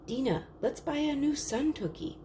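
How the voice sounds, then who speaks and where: An excitable young storybook girl, acted out by a woman reading aloud. She is eager and urgent, pitched up from the narration and gushing breathlessly. Faint noise sits behind the voice. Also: normal speed. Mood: eager, pleading.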